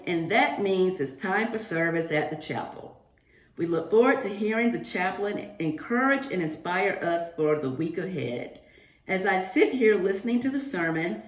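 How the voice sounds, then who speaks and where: A woman reading a picture book aloud to young children, expressive and addressing them directly. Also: mid-range voice. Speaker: guest picture-book reader for a school class. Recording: roomy, echoing virtual-reading audio.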